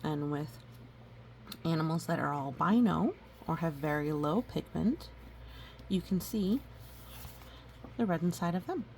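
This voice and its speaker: A woman reading a storybook aloud gently and adding educational asides. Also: deep voice, expressive, unhurried, long pauses, low-quality recording. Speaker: storybook reader. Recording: faint background noise.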